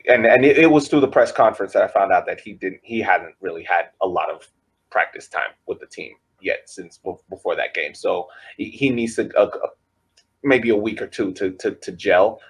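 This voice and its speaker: Husky low-voiced man, hesitant. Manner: hesitant, many ums and restarts. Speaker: podcast co-host reporting on a press conference. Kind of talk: casual podcast chat.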